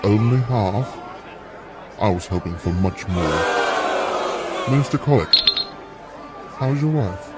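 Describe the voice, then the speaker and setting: A low-voiced, taunting villain acted by a man in an audio drama, a condemned tyrant who relishes his own evil. His menacing delivery sits amid loud music and a noisy crowd. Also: expressive, slow pace, pauses often. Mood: mocking menace. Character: evil, mocking condemned male tyrant, gleefully murderous.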